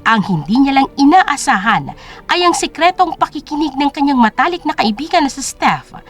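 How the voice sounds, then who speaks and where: A woman's voice: high-pitched, sing-song, moderate pace, soft background music. A woman reading scripted dramatic narration as the storyteller of an audio drama.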